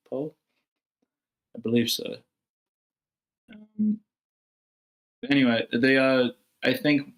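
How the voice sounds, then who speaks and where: A man giving informal feedback on a screenplay in a tentative, rambling way, softening his criticism. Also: mid-range voice, poor audio. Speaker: participant giving script feedback.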